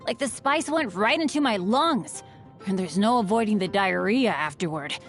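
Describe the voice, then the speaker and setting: A woman's voice: mid-range voice, lively intonation, moderate pace, natural voice. A woman voicing an upbeat, earnest teenage boy adventurer in a video game, eager and boyish. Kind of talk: scripted game voice lines. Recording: music behind the voice.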